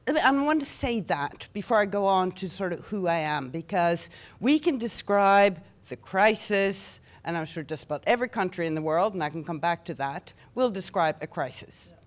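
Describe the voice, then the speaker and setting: Low-voiced Norwegian woman in her sixties. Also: lively intonation, moderate pace. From Norway. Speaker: panelist, union official. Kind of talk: panel discussion.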